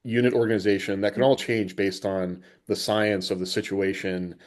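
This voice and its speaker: A man's voice: on the low side, flat delivery, normal speed, a little mellow. A man co-hosting a podcast, chatting casually as he puts questions and thoughts to a guest. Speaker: podcast co-host. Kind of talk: casual interview chat.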